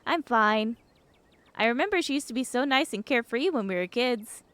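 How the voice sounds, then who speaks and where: A woman's voice: on the high side, expressive, normal speed, fairly bright tone, clean tone, no room sound. A woman playing a friendly, eager, slightly nervous young small-town woman in a visual novel, chatty and apologetic. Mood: friendly, uneasy.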